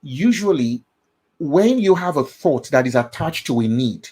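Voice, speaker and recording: A man's voice: on the high side, expressive, a little slow, a little mellow, breathy edge. A middle-aged man with a Nigerian accent, hosting a talk and explaining ideas about the brain, repeating key phrases and checking in with his listener. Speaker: host.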